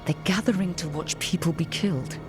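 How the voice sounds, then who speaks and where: An actress playing a resolute, steady leader of an adventuring party in a fantasy game. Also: female voice, lowish voice, brisk, over music, lightly acted.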